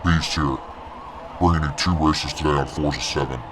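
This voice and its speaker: A huge demon for a gaming video. The voice is a guttural deathcore growl, electronically dropped to an extremely low pitch. Clear noise runs behind it. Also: male voice, fairly even intonation, moderate pace. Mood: menacing greeting. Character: deep growling demon with death metal scream. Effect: pitch-shifted down.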